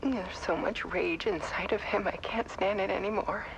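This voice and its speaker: An actress playing an exhausted, haunted woman photographer in a horror game, speaking in a hushed, halting, breathy whisper. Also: medium pitch, a little hiss or hum, close to an everyday voice, rough recording. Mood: anguished, exhausted. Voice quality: breathy whisper with creaky, sharp edge. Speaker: actor in a live-action game cutscene. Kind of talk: confessional monologue, horror game live-action acting.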